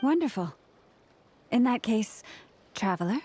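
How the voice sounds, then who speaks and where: A woman's voice: fairly high pitch, expressive, measured pace, warm tone. A woman playing a gracious, joyful young priestess in an anime game, with a polite, formal manner. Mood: pleased. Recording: faint music behind the voice.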